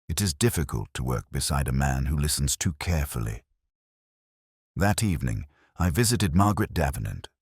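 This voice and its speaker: A man reading a scripted first-person detective story in a measured, literary, wry manner. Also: very deep voice, a little mellow, husky, no room sound, clean studio sound. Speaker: narrator.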